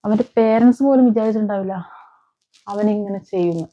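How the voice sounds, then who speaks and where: A woman's voice: medium pitch, a little fast, dark tone, muffled recording. A woman giving emphatic, opinionated commentary on crime as a vlog host, repeating phrases and posing rhetorical questions. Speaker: vlogger, host.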